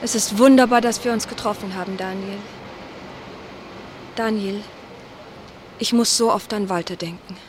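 An actress playing a nervous married woman reunited with an old friend, speaking in a hurried, anxious way. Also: medium pitch, noisy background, lightly acted. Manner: hurried, anxious, breathy at times. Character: Eva, a nervous, married woman from his past. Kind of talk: radio play acting.

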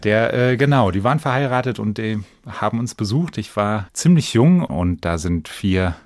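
A male actor from Germany talks casually as an interview guest. His voice is deep and low, captured in a dry, close studio sound with no room around it. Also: a little mellow, no echo. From Bensheim, Hesse, Germany.

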